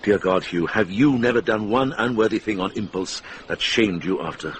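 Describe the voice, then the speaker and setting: An actor playing a wise, earnest, middle-aged monk and former soldier in a radio drama. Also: male voice, fairly low pitch, normal speed, slight background noise, close to an everyday voice. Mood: earnest pleading.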